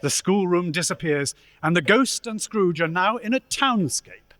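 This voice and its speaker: A man narrating a radio play as a scripted storyteller, in a measured, dramatic manner. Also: high-pitched, expressive, a bit bright, no room sound, clean studio sound.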